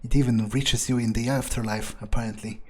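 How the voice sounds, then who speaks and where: A man's voice: medium pitch, steady tone, fairly quick, husky, lightly acted. A man playing a cynical, crude gangster turned vampire, thinking aloud in character in a sardonic, hesitant mutter during a video game. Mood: wry musing.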